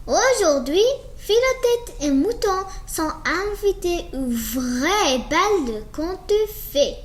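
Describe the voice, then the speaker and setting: A woman reading a children's story aloud in an animated way, slowly and with small stumbles. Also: very high-pitched. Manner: slow, halting reading with stumbles. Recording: roomy echo with faint background noise.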